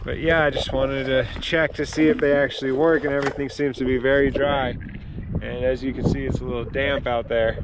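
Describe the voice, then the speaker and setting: A man in his thirties casually reviewing gear outdoors beside his van, relaxed and full of fillers. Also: medium pitch, brisk, background noise. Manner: relaxed, filler-heavy, easygoing. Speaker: vlogger.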